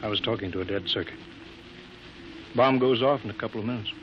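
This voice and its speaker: An actor playing a steady, somber commander in a 1950s radio drama. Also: male voice, lowish voice, quick pace, close to an everyday voice. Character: steady, somber male base commander, wearily reassuring. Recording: muffled, hissy old radio recording.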